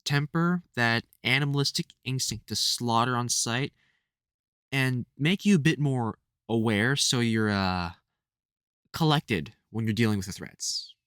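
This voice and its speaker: A man playing a soft-hearted, protective vampire hunter mentor in an intimate audio roleplay, speaking fondly and hesitantly with wry exasperation. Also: mid-range voice, a little slow, clear, resonant tone, dry recording, studio quality, close to an everyday voice. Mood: explaining, reassuring. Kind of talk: intimate audio roleplay acting addressed to the listener.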